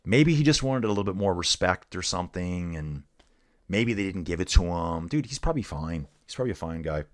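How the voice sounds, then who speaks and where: A man's voice: sits low, animated, fairly quick. Laid-back man doing live race commentary. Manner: laid-back, says "dude" often, reads chat aloud. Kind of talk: casual live-stream commentary.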